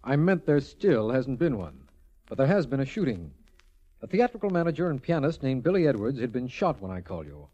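A man's voice: mid-range voice, big pitch swings, a little fast, warm tone, husky. An actor playing a sober, businesslike male district attorney in a 1940s radio drama. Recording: dull old radio recording.

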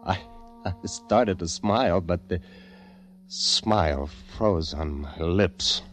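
A haunted, nervous poet in vintage radio drama. His very low voice is halting and intense, with music playing clearly behind him. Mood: uneasy, suspenseful. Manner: hushed, deliberate, halting, intense. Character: nervous poet fleeing the world, haunted narrator. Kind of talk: 1940s radio acting, dramatic first-person narration.

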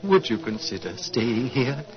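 An actor playing a gentle, solemn old monastery abbot in a 1940s radio drama, speaking in a measured, fatherly, ceremonial way. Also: mid-range voice, big pitch swings. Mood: wistful. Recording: dull 1940s radio broadcast.

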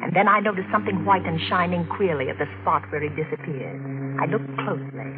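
A woman's voice: sits low, little pitch movement, brisk, lightly acted. A woman playing a tense, haughty married woman in the dramatic, clipped style of 1940s radio drama. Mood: suspenseful, haunted. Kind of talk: 1940s radio drama acting and first-person narration. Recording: noisy, muffled 1940s radio recording.

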